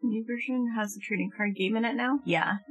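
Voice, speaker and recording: A woman's voice: a little high, fairly quick, soft background music, rough recording. A woman talking casually while gaming.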